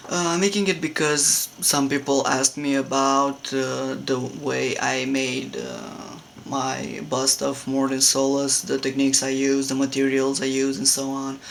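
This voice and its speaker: A young man with a Romanian accent, a non-native English speaker, talking casually at home about his sculpting process with many pauses and fillers. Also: medium pitch, level tone, vocal fry, fairly bright tone, a little hiss or hum. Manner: hesitant, many fillers and restarts. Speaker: hobby sculptor. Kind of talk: casual tutorial talk. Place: home room.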